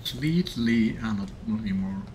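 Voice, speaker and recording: A man's voice: fairly low pitch, lively intonation, fairly quick, darkish tone, slight background noise, poor audio. A man in his thirties doing casual let's play commentary and reading game text aloud as he goes. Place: small room indoors at home. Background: game music.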